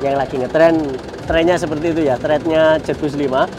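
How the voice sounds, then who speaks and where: A man's voice: a little high, fairly even intonation, a little fast, a little mellow. Chatty man touring a bus outdoors. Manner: chatty, addresses viewers as friends. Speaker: vlogger. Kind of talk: casual walkaround vlog. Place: outdoor car show parking lot. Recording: outdoor noise behind voice.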